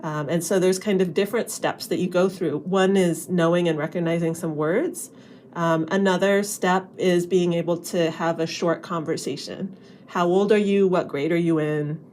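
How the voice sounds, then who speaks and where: A woman's voice: lowish voice, fairly even intonation, moderate pace, a little mellow, a little hiss or hum. A woman linguistics professor explaining ideas conversationally to children, with casual fillers. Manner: chatty, with fillers.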